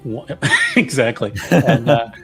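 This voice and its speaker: A man's voice: medium pitch, big pitch swings, slow, fairly bright tone, faint music behind. A man casually describing his character's actions as a player in a tabletop game, in a loose, jokey way. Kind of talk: casual improvised game chat.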